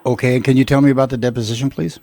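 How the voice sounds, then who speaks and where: A man's voice: medium pitch, little pitch movement, rapid-fire, mellow, no room sound. Man interviewing over the phone, dry sound. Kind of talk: phone interview, conversational questioning. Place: telephone call. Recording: recorded telephone call.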